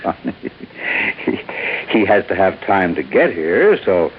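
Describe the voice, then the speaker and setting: An American actor playing a genial insurance office man in a 1950s radio drama, hesitant and drawn-out in his delivery. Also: low-pitched, very animated, breathy edge, heavily acted. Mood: amused. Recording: tinny, hissy old radio recording.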